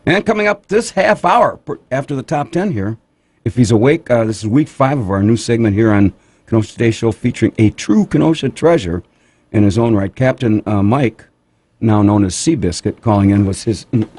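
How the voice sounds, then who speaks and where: A middle-aged man hosting a morning radio show with quick, teasing jokes. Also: medium pitch, animated, darkish tone, breathy edge, no room sound. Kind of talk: casual morning radio banter.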